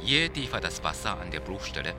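An actor playing a Japanese seismologist, explaining in a precise, didactic, formal way in a radio-play interview. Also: male voice, low voice, big pitch swings, brisk, music in the background. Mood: precise, solemn. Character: male Japanese seismologist, precise, solemn expert being interviewed.

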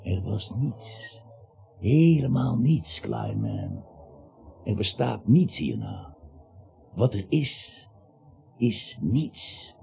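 An actor in a Dutch radio play, playing a garrulous, emphatic man with a gravelly, husky voice who swells on key words. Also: fairly low pitch, wildly swooping pitch, very slow, long pauses, mellow, a little hiss or hum, exaggerated voice. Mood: bleak. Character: garrulous, emphatic man who was once clinically dead. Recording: dull, muffled radio play recording.

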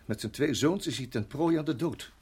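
An actor playing a shaken middle-aged father in a radio drama, speaking haltingly and repeating his words. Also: medium pitch, a little mellow, slightly rough, close to an everyday voice. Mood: haunted, brooding. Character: shaken father, a former Rhodesian farmer, hesitant and troubled.

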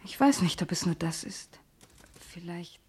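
An actress playing an anxious woman in a German radio play, hushed and hesitant, partly whispering. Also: lowish voice, expressive, unhurried, a little hiss or hum. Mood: anxious, brooding. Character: anxious, hesitant woman gripped by vague fear.

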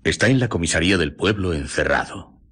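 An actor playing a cautious, nervous gentleman in a radio drama, a husky voice and a hesitant, guarded way of speaking. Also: low-pitched, expressive, a little fast, full character voice. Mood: measured, cautious. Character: cautious, nervous gentleman, uneasy reluctant confidant. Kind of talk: Spanish radio drama acting.

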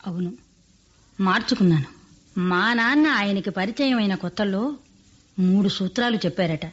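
An actress playing a calm, sensible middle-aged mother in a radio play, explaining things with composure. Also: mid-range voice, moderate pace, close to an everyday voice. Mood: calm, reasoning. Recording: dull lo-fi radio recording.

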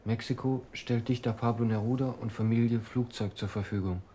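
An actor as a soft-toned, humble young postman. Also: medium pitch, steady tone, a little fast, close to an everyday voice. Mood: dutiful. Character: young village postman, humble, deferential, earnest. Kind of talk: radio play acting.

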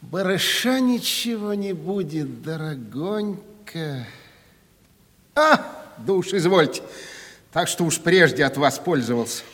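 An actor playing a crafty, uneducated provincial timber merchant in a Russian radio play, wheedling and mock-humble in a folksy way. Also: male voice, fairly high pitch, big pitch swings, slow, a bit bright, full character voice. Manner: wheedling, mock-humble, colloquial peasant turns. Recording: reverberant 1970s radio play recording.

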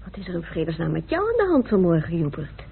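Husky, fretting wife. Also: female voice, on the low side, huge pitch swings, quick pace, full character voice. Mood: fond reproach. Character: fond, fretting wife of a politician. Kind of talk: old radio play acting. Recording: scratchy lo-fi old radio recording.

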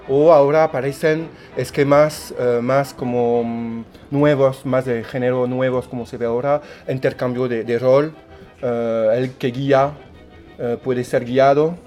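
A man's voice: mid-range voice, a little mellow. Hesitant French-accented man. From France. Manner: hesitant, many repeated words and fillers. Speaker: tango DJ and milonga organizer. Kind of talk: radio interview answers.

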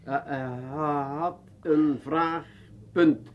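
High-voiced pedantic busybody. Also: male voice, steady tone, very slow, lo-fi sound, slight background noise. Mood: pedantic, insistent. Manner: pedantic, prim, insistent. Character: pedantic, self-important busybody man at a political meeting. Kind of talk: comic radio drama acting.

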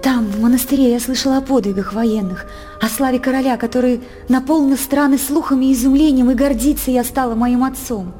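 An actress playing an innocent, devoted young princess, speaking theatrical verse earnestly and formally in a radio play. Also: female voice, sits high, fairly even intonation, fairly quick, mellow, soft background music, close to an everyday voice.

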